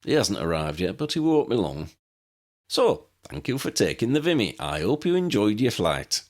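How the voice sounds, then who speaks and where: A man's voice: on the low side, big pitch swings, normal speed, voice pushed up. A man voicing an eccentric, chatty old aeroplane pilot in a storybook, jaunty, rambling and folksy.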